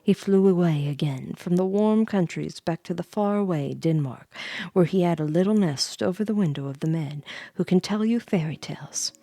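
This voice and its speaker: A woman streamer reading a bedtime story in a soft ASMR style, close to the microphone, with playful, rambling asides to her viewers. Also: fairly low pitch, moderate pace, no echo.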